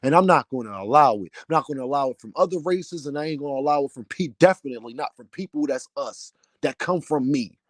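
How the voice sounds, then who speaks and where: Loud, heated man ranting with a deep voice. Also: animated, fast, hardly any pauses, no room sound, studio quality. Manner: loud, heated, preaching cadence. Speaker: vlogger, channel owner. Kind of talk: passionate rant to camera.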